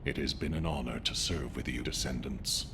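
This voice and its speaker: A man's voice: rumbling low, moderate pace, noisy background. An actor playing a male commander leaving a final recorded message to his troops in a video game. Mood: somber. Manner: steady, heartfelt address to troops. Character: fallen male commander's recorded farewell, steady and caring. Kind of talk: video game cutscene dialogue.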